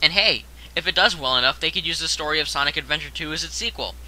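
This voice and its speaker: A man's voice: a little high, quick pace, sharp tone, rough recording. A young man narrating a scripted top-ten countdown as host, in a conversational way that addresses the viewer directly.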